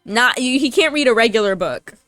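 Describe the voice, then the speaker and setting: A woman's voice: on the high side, animated, a little fast, a bit bright, no echo, studio quality. A woman gaming YouTuber giving casual, informal playthrough commentary in a chatty way. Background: faint game music.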